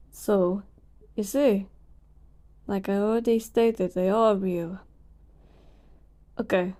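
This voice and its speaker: An ancient genie of the lamp, acted out by a woman in an audio roleplay. The delivery is slow and leisurely, with a soft, relaxed tone at a middling pitch. The manner is weary but playful, sliding from lofty formality into casual sass. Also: natural voice. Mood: teasing, sassy. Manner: shifts between grand servitude and casual sass. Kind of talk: roleplay audio acting addressed to the listener.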